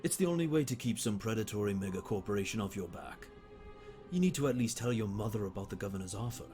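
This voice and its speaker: A man playing a smooth, pushy business negotiator with veiled menace in a comic dub, insistent and persuasive. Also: lowish voice, expressive, quick pace, darkish tone, soft background music, lightly acted. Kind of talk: acted comic dub dialogue.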